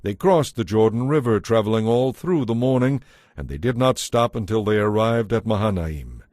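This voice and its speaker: A man's voice: on the low side, expressive, moderate pace, a little mellow, no echo, studio quality. A man narrating a dramatized audio Bible as a scripted storyteller.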